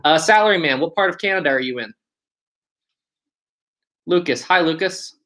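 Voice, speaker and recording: Bright-voiced man with West Virginia accent. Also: fairly high pitch, expressive, normal speed. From West Virginia, United States. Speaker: livestream host. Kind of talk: casual livestream chat, answering viewer comments.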